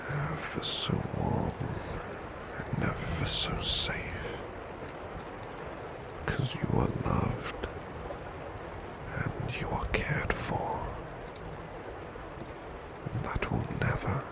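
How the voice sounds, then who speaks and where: A man playing a gentle, devoted servant in a whispered ASMR roleplay, soothing a beloved to sleep with tender, softly repeated phrases. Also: mid-range voice, heavy background noise, full character voice. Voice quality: breathy whisper.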